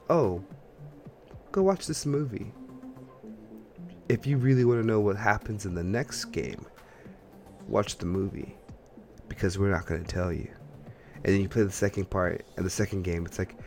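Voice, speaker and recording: A man hosting a podcast in casual chat, telling things in an animated, rambling way and acting out imagined reactions. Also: medium pitch. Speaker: podcast host. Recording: background music under the voice. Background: music.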